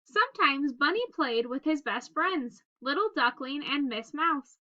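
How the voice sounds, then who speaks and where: A woman with a high-pitched, bright voice reads a picture book aloud for young children. Her delivery is expressive and simply phrased, as a storyteller's. The recording is a little dull and lo-fi. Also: unhurried. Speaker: read-aloud host reading a picture book.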